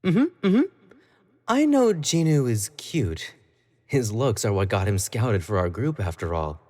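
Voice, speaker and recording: A man's voice: on the low side, very animated, unhurried, warm tone. An actor playing an obsessive, clingy young male pop idol in an audio roleplay, talking to the listener in a coy, playful, doting way. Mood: jealous, possessive. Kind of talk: scripted roleplay monologue to the listener.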